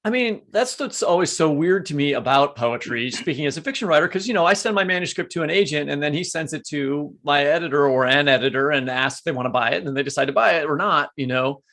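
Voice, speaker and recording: Creaky, higher-pitched male podcast host. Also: quick pace, few pauses, fairly bright tone. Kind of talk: conversational podcast hosting.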